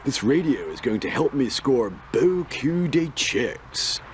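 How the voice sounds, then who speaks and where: Drawling slacker-dude cartoon crook. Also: male voice, medium pitch, big pitch swings, takes it slowly, slight background noise. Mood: cocky, laid-back. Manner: drawling surfer-dude slang. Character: laid-back young male petty crook, cartoon slacker.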